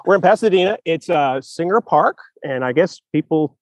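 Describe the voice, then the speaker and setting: A man with a somewhat high voice rambles and jokes through a casual podcast chat. He is on a video call from an outdoor park, and his voice comes through dry and close. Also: lively intonation, no echo. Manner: jokey, rambling, quick to laugh. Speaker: co-host, writer. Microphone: video call over spotty public Wi-Fi.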